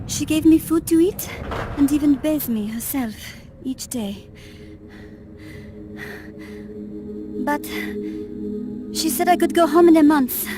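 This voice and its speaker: A stranded French birdwatcher in a video game, her voice high and French-accented. She is earnest and plaintive, with music playing behind her. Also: unhurried. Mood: earnest, recounting. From France. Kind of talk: video game cutscene acting.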